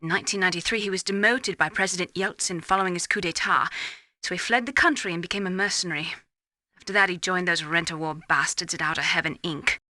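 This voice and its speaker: Crisp, bright military adviser. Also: female voice, fairly low pitch, no echo, studio quality. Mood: informative. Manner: measured, informative. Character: composed, concerned female military medical adviser. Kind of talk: video game voice acting.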